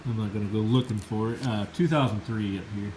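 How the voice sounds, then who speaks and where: A man's voice: on the low side, fairly quick, noisy background. A man with a slight cold, sniffling a little, giving an enthusiastic, rambling casual review of a Bible.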